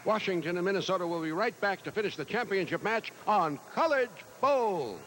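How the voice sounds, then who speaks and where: A man's voice: sits high, animated, fairly quick, fairly bright tone, a little hiss or hum. A man working as a quiz show announcer, calling out the teams and players.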